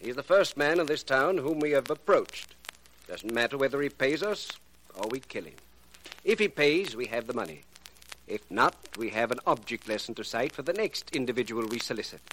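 An actor as a pompous, cold criminal mastermind. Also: male voice, medium pitch, lively intonation, a bit bright, lightly acted. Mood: coolly menacing. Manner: pompous, deliberate, ornate diction. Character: cold, erudite male extortionist crime boss called professor. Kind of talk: 1940s radio acting. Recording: noisy, crackly old radio recording.

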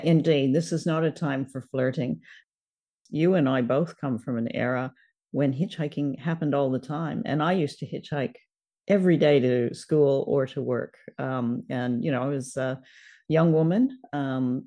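A woman's voice: rumbling low, moderate pace, dark tone. An older woman hosting a show, chatting casually about a radio drama. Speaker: podcast host.

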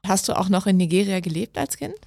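A woman's voice: mid-range voice, expressive, quick pace, gravelly, a little mellow, no room sound. Woman hosting a studio podcast. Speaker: podcast host and integration course teacher. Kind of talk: podcast interview.

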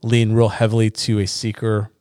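A man's voice: on the low side, monotone, a little mellow, dry recording, studio quality. A man in his forties hosting a casual church leadership chat, teasing his co-hosts and restarting his sentences. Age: 40s. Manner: joking, teasing, halting restarts. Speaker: podcast host.

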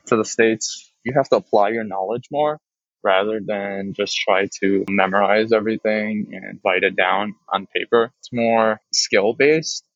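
A man's voice: on the low side, fairly bright tone, no echo. A young man from Dubai, a college freshman, sharing his views in an earnest, thoughtful way with slight hesitations. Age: young adult. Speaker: guest, college student. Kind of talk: recorded guest statement. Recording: dry, dull lo-fi recording.

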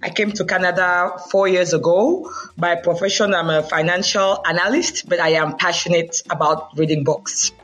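A woman from Cameroon, answering interview questions warmly and simply. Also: on the low side, fairly even intonation, normal speed. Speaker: podcast guest.

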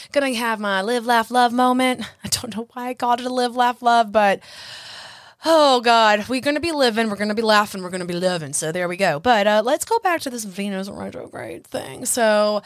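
A young woman hosting a solo podcast, chatty and self-mocking, her voice husky and a little hoarse from congestion. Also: a little high, fairly bright tone, dry recording, studio quality. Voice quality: husky, raspy. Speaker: podcast host.

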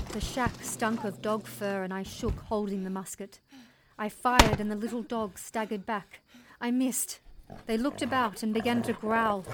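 A woman's voice: a little high, background noise, lightly acted. A woman playing a resolute young settler mother, telling her story in intense, dramatic first-person narration. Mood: tense. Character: resolute young settler mother recounting a wild dog attack.

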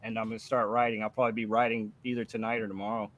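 A man's voice: lowish voice, flat delivery, rapid-fire, a bit bright, dull audio. Casual, foul-mouthed man chatting. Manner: profane, laughs easily; self-deprecating. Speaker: book review channel host and aspiring writer. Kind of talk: casual chat.

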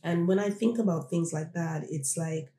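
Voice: mid-range voice, moderate pace, warm tone, echoey room, slight background noise. A podcast host born in Jamaica and raised in Toronto, talking casually and reflectively while speaking straight to listeners. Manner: reflective, conversational, addresses listeners directly. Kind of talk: casual solo podcast talk.

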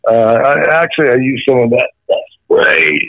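A man calling in as a musician guest, his voice a little rough after rehearsal, rambling with many restarts. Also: mid-range voice, very animated, normal speed, no room sound. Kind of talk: phone interview, reminiscing. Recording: phone call, dull and dry.

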